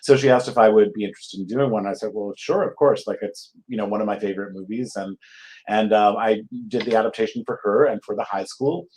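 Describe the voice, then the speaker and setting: A man from Connecticut, a playwright, answering interview questions casually in a thoughtful, halting way with many pauses. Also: fairly low pitch, a little mellow, rough recording. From Connecticut, United States. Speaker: guest playwright.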